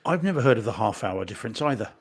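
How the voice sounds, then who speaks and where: A man's voice: mid-range voice, animated, rapid-fire. A man from New Zealand co-hosting a podcast, talking casually and hesitantly with many ums. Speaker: podcast co-host. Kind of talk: casual chat.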